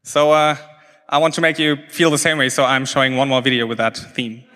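A man whose first language is German gives a conference talk, stopping and restarting often, with plenty of fillers. His voice is bright and forceful. The sound carries a long hall reverb. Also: medium pitch. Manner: halting, many restarts and fillers. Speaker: conference speaker.

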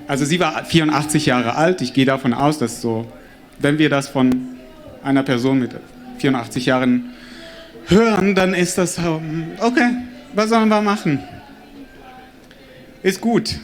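Animated man performing to a crowd. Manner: animated, addresses the crowd directly, swings pitch widely. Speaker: stage performer. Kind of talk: spoken word performance with audience. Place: stage before a live audience.

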